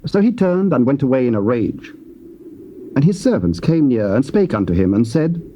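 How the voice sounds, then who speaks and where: An English man reading Bible narration in a steady, formal way on a lo-fi tape. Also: mid-range voice, normal speed, slight background noise. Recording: dull lo-fi tape.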